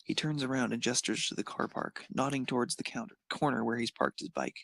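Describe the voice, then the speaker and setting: A man's voice: mid-range voice, a little fast, gravelly, a bit bright, no room sound. A man narrating a scripted piece of fan fiction as a storyteller.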